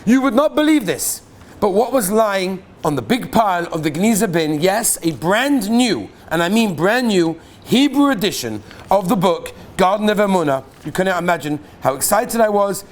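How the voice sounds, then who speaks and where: A man, a rabbi, reading listeners' stories aloud to his class in an animated storytelling way, sometimes asking the audience questions. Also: high voice, moderate pace.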